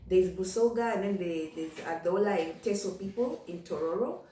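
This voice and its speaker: A woman's voice: mid-range voice, expressive, moderate pace, dark tone, distant mic. Candid woman in an echoey room. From Kenya. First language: Ugandan. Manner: candid, repeats phrases, frequent "you know". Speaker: vlogger. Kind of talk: casual reflective monologue.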